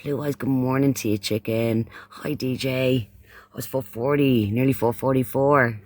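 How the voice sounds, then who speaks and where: A man's voice: mid-range voice, fairly even intonation, normal speed, dry recording. A man from Ireland with an Irish accent hosting a casual livestream chat, often repeating phrases and using pet names for those he addresses. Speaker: livestream host.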